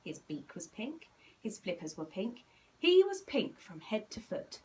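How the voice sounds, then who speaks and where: Expressive woman reading a children's storybook. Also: medium pitch, a little slow, distant mic. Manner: animated, acting out dialogue. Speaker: volunteer story reader.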